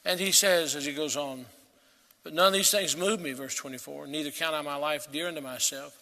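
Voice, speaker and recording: A man preaching a sermon as pastor, earnest and emphatic, swelling to loud peaks and dropping to quiet. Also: fairly high pitch, steady tone, brisk, creaky, long reverb tail, rough recording.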